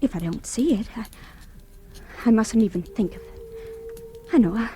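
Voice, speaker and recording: An actress playing a fragile, panicked young woman in an old radio horror play, breathless, halting and repeating herself. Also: fairly high pitch, animated, dark tone, slight background noise, natural voice. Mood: rising panic. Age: young adult. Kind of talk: 1940s radio horror acting, monologue. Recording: old radio drama with music underneath.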